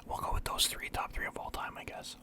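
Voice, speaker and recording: A man's voice: fairly quick, pushed and bright. A young man from Canada whispering through a casual, rambling list of favorites as a vlogger. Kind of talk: whispered ASMR list chat.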